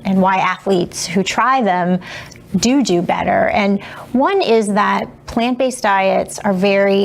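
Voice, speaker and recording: A woman's voice: medium pitch, gravelly. A woman giving a conversational, explanatory interview as a nutrition expert.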